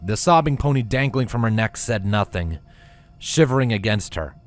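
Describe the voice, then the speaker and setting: Lively male storyteller over faint music. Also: lowish voice, moderate pace. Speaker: fan fiction reader. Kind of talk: scripted story narration with character voices.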